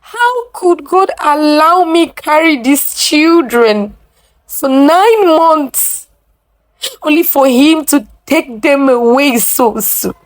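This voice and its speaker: Storyteller lady doing a heartbroken mother in a folktale, voice raised high, pleading, dragging words out. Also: lively intonation, poor audio. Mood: anguished, pleading. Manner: pleading, drawn-out. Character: grief-stricken young mother, devout and questioning.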